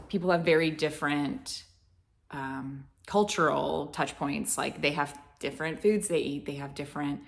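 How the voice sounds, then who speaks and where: Low creaky southern-accented woman, rambling vlog chat, lots of ums. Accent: American Southern. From Florida, United States. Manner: meandering, with many restarts and fillers. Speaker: vlogger. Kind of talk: casual rambling chat to camera.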